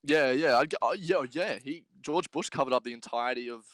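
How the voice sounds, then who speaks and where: A man's voice: medium pitch, brisk, fairly bright tone, no room sound. Male podcast co-host, mid-range voice, close dry sound, jokey casual chat, hammers a phrase by repeating it. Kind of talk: casual joking group chat. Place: remote group podcast during quarantine.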